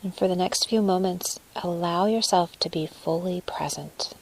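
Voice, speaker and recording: A woman leading a guided meditation in a soft, breathy, soothing voice, phrasing deliberately with pauses. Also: fairly low pitch, rough recording.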